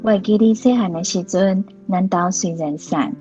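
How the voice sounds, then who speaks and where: An actress playing an affectionate, caring grown daughter and aunt in a radio drama, with a Taiwanese Hokkien accent. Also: female voice, mid-range voice, moderate pace, dark tone, dull audio, faint music behind, close to an everyday voice. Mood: tender, earnest.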